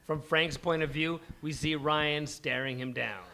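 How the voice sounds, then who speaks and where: A man's voice: on the high side, fairly even intonation, unhurried, creaky, sharp tone. A man reading scripted stage directions as narrator of a live comedy table read.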